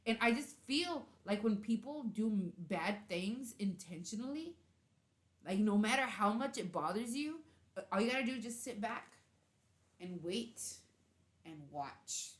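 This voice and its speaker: A woman's voice: medium pitch, animated, a little slow. A woman hosting a casual interview chat, talking loosely and filling her sentences with "like". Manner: chatty, peppers talk with "like". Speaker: podcast host. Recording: roomy echo.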